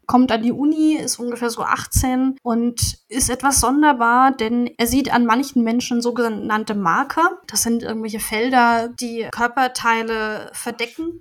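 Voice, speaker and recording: A chatty woman with a fairly high voice talks loosely and at length, laughing often and filling pauses as she sums up a book she liked. She sounds relaxed and casual, like a friend talking on a podcast. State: has a cold. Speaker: podcast co-host. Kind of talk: casual chat about books and audio dramas.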